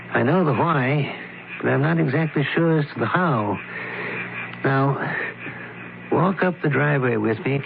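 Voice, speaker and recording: An actor playing a shrewd, methodical male criminologist, deliberate and authoritative, in 1970s radio drama style. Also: medium pitch, creaky. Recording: noisy, narrowband old radio broadcast.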